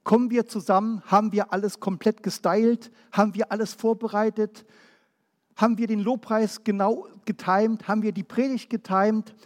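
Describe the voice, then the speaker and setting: A man from Berlin preaching a Pentecostal sermon, firing off rhetorical questions and then dropping quiet and low. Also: in a large hall. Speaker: pastor.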